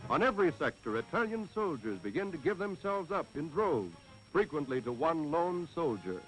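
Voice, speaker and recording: A man's voice: a little high, very animated, moderate pace, rough recording. An American man narrating a scripted 1940s wartime newsreel in a dramatic, declamatory, patriotic manner. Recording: vintage 1940s newsreel soundtrack with music.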